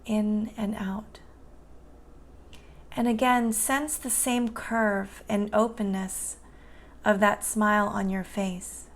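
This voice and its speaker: A woman guiding a meditation in a soothing, gentle and unhurried manner. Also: mid-range voice, flat delivery. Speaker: meditation guide. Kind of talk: guided meditation narration.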